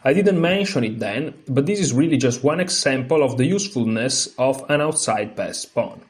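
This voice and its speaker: A man with an Italian accent, a non-native speaker, hosting a chess lesson, reading a book aloud haltingly with fillers and restarts, then explaining more freely. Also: medium pitch, expressive. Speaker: chess channel host.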